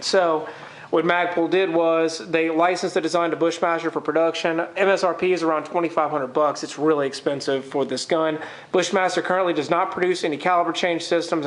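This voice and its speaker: The recording is noticeably roomy and echoey. A man with a fairly high voice, congested from a cold, talks through an informal video review. He explains things in technical detail. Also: fairly bright tone. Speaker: gun reviewer. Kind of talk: informal product review to camera.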